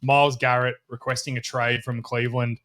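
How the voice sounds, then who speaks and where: A man's voice: medium pitch, little pitch movement, brisk, fairly bright tone. A man hosting a casual sports podcast, bantering and laughing with his co-hosts. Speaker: podcast host and show owner.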